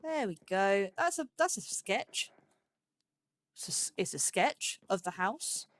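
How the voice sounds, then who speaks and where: A woman's voice: mid-range voice, animated, a little slow, no room sound. A woman giving casual let's play commentary, musing aloud and questioning herself as she goes. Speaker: host of a gaming let's play series.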